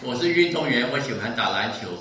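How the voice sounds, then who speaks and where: A man in his seventies from Taiwan, giving a church testimony in short measured sentences. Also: mid-range voice, lively intonation, crowd noise. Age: 70s. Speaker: guest speaker giving a testimony. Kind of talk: church testimony in short phrases, paused for interpreting. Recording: echoey church hall, distant mic.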